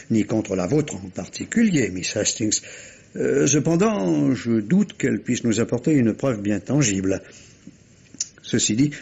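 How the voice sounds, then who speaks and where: A polite, probing police inspector from an old radio mystery. His intonation is very animated and his questions circle hesitantly and courteously. The voice is slightly husky, on a dull vintage recording. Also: on the low side, heavily acted. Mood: politely sceptical. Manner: hesitant, courteous, roundabout questioning with repeated words. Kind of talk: 1950s French radio mystery acting.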